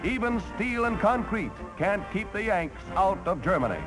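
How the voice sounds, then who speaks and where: A man's voice: high-pitched, big pitch swings, moderate pace. An American man giving punchy, theatrical 1940s newsreel commentary on a vintage soundtrack. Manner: punchy, colourful, theatrical announcing. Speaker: newsreel narrator. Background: loud music.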